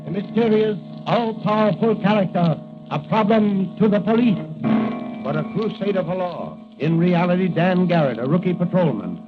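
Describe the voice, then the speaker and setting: A man's voice: high-pitched, expressive, moderate pace. A man announcing a radio serial in an emphatic 1940s scripted style. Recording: tinny old radio recording under music.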